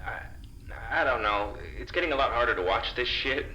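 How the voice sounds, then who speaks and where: A woman's voice: very deep voice, animated, moderate pace, sharp tone, exaggerated voice. A woman playing a conflicted, anxious guard in an audio drama, hesitant and prone to stammering. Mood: distressed. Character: conflicted, anxious, soft-hearted guard Vic. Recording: roomy sound with faint noise.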